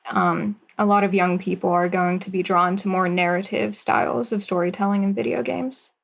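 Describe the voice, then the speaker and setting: A woman's voice: mid-range voice, steady tone, moderate pace, creaky. A chatty young woman on a lo-fi line. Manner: chatty, upbeat, with light laughter. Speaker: guest writer and actress. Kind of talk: casual interview.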